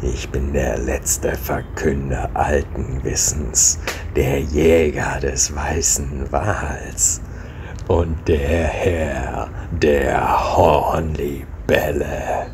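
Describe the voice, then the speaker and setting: A man voicing a menacing, hooded dark warrior who proclaims slowly and grandly in a fantasy story. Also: very deep voice, fairly even intonation, voice pushed down, heavily acted. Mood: grandiose menace. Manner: slow, ominous, grandiose proclaiming. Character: menacing hooded burly warrior servant of a great serpent. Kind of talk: dramatic fantasy story reading. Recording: clearly noisy background.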